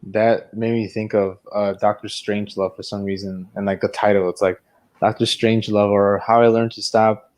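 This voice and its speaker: A man's voice: lowish voice, little pitch movement, normal speed, a little mellow. A man in casual conversation as a podcast co-host, talking in a joking, emphatic way. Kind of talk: casual chat.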